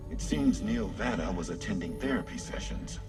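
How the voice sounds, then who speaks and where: An actor playing a composed, formal informant in a video game, speaking in a measured, matter-of-fact way. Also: male voice, deep voice, wildly swooping pitch, vocal fry, far from the mic, music in the background, exaggerated voice. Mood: grave. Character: composed, formal male informant laying out evidence. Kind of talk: video game cutscene acting.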